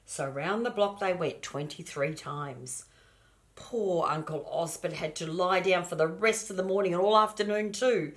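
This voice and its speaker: An older woman with a fairly low voice reads a picture book aloud as a grandmother would. She is expressive and homely, breaking off for small asides addressed straight to the children. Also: normal speed.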